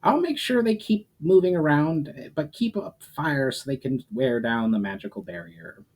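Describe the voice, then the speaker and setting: Man as an eager, higher-pitched mage commander. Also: expressive, normal speed. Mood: eager, dutiful. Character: army commander of mages, eager, dutiful subordinate. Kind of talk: dramatic audiobook character reading.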